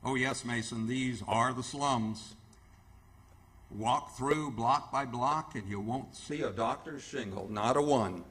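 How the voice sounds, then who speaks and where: Rattled earnest hospital boss, old style radio play, emphatic, halting delivery. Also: male voice, medium pitch, a bit bright. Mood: grave, impassioned. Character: rattled, careworn, earnest male hospital superintendent. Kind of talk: radio theatre acting.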